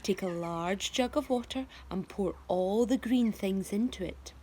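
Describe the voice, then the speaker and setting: A teacher voicing a secretive old man who gives hushed, urgent instructions in a storybook reading. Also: medium pitch, measured pace, a little hiss or hum, close to an everyday voice. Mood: mysterious. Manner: hushed, whispering, then hurrying.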